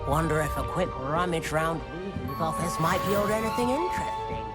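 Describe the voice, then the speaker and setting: Upbeat, expressive student adventurer. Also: female voice, deep voice, a little fast, over loud music. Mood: upbeat, curious. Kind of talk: video game voice acting.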